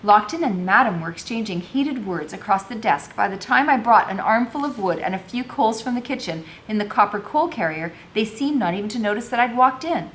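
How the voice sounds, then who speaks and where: A woman's voice: mid-range voice, lively intonation, fairly quick, hardly any pauses, a bit bright, a little hiss or hum. A woman teacher reading a novel aloud, moving between narration and informal explanatory commentary.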